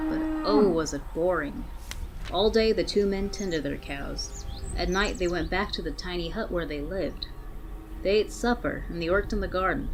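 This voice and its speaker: A woman narrating a scary story aloud in a theatrical way, with deliberate dramatic pauses. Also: on the low side. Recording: clearly noisy background.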